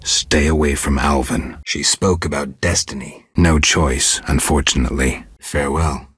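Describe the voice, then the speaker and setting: Game lead: stoic, world-weary monster hunter, extremely low and rasping, blunt yet protective, terse delivery. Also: male voice, no echo, full character voice. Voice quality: gravelly, husky low voice. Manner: terse, restrained, dry delivery. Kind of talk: video game character dialogue.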